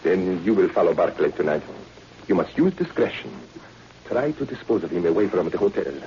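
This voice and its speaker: Cold bossy villain, very deep dark voice, crackly old radio. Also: male voice, animated, a little fast. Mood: coldly commanding. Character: commanding male crime boss, coldly calculating. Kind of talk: 1940s radio acting. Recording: dull, noisy old-time radio recording.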